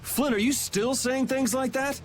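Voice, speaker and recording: A man's voice: very high-pitched, fairly even intonation, measured pace, slight background noise. A man voicing a blunt, irreverent young hero with a casual, defiant way of talking in a video game cutscene. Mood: wry. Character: blunt, cocky young male vigilante hero.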